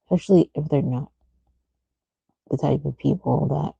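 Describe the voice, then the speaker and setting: A woman's voice: low voice, normal speed, creaky, dark tone, breathy edge, dry recording. A woman chatting casually on a livestream in an informal, rambling way. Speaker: streamer, host. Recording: muffled lo-fi mic with clicking.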